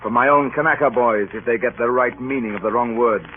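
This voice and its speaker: An actor playing a grave, weary sea captain in an old radio drama, with a measured, solemn delivery. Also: male voice, mid-range voice, faint music behind, close to an everyday voice. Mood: wary, grave. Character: grave, weary male sea captain narrating his past. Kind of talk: 1940s radio drama acting. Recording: muffled, noisy old radio broadcast.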